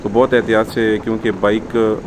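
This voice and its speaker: Low-voiced Pakistani man vlogging in loud noise. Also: level tone, normal speed. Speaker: motorcycle travel vlogger. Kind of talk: casual vlog commentary. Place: outdoors on a mountain pass, partly riding a motorbike. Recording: noisy outdoor recording with wind and vehicle noise.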